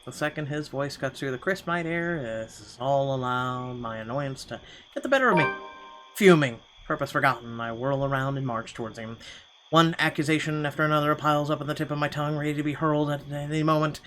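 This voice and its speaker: A man's voice: a little high, brisk, a bit bright, faint music behind, low-quality recording. A man reading first-person narration from a game aloud in a dramatic storytelling style. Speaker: gaming channel host reading a visual novel aloud.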